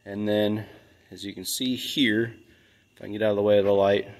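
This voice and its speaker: A man's voice: lowish voice, level tone, a little fast. A man speaking casually and plainly as he explains a hands-on workshop project as a DIY host. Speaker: DIY builder and channel host. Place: large metal-roofed workshop building.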